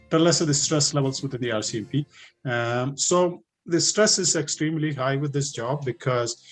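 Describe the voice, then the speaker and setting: A middle-aged man with a thick Indian accent talks in a loose, chatty way as a police officer answering questions at a recruiting session. His voice sits at an ordinary middle pitch. He often pauses on "uh" and restarts a sentence, and faint music plays behind him. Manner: conversational, many fillers and restarts. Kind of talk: informal Q&A talk.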